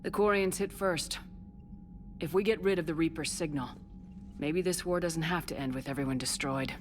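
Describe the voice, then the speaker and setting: A woman playing a low-voiced, blunt commander. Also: normal speed, a little hiss or hum, close to an everyday voice. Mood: serious. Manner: blunt, probing, no-nonsense. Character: tough, direct female military commander. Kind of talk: video game voice acting.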